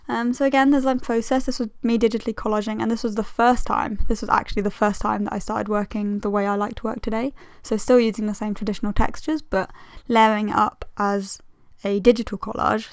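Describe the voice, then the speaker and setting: A woman in her twenties talks casually through her work in a creaky, slightly tired voice. She rambles in a chatty, self-deprecating way, at an ordinary female pitch. The sound is close and dry. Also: dry recording. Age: 20s. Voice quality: slightly strained, tiring voice. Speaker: illustrator and video host. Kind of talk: casual sketchbook tour commentary.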